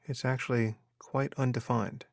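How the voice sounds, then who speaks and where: A man guiding a meditation as a teacher, giving measured instructions with unhurried pauses. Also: medium pitch, steady tone, creaky, dry recording, clean studio sound. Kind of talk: guided meditation narration.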